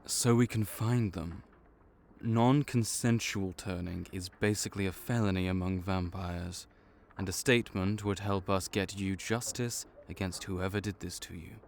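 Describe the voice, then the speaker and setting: A man playing a slow, low-voiced vampire clerk. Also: steady tone, creaky, slight background noise. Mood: clinical. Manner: measured, clinical, patiently reassuring. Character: male vampire association counselor, calm, bureaucratic, veteran. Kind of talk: audio roleplay acting.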